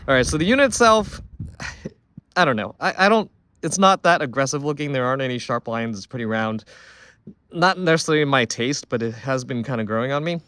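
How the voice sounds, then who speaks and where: Male product reviewer, mid-pitched, conversational and unscripted, talking through his thoughts as he demonstrates. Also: animated, fairly quick, fairly bright tone, slight background noise.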